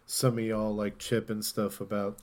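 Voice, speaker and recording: A man's voice: fairly low pitch, little pitch movement, moderate pace, a little mellow. A man co-hosting a podcast, chatting casually about gaming news in an informal, rambling way. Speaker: podcast host.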